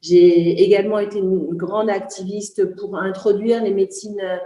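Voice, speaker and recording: A woman from Senegal delivers a formal, heartfelt testimonial. Her voice is soft and mellow, and her long sentences keep stalling on small hesitations. The sound carries a noticeable roomy echo. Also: medium pitch, level tone, moderate pace. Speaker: speaker presenting a project.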